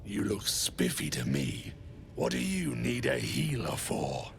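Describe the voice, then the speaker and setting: A man voicing a brutish goblin thug in a video game, with a growling, rough and slangy delivery. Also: rumbling low, wildly swooping pitch, takes it slowly, a little hiss or hum, exaggerated voice. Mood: gruff, dismissive. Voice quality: gravelly, growling. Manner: rough, slangy, swinging into barks. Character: brutish gravel-voiced goblin thug loyal to a cult. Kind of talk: video game character acting.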